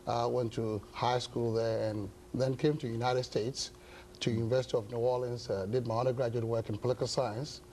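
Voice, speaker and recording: A pastor from Ghana, older, answering interview questions slowly with many pauses and fillers. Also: male voice, medium pitch, level tone, a little mellow, a little hiss or hum, poor audio. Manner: halting, many uh fillers, measured. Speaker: pastor and guest. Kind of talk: interview talk show.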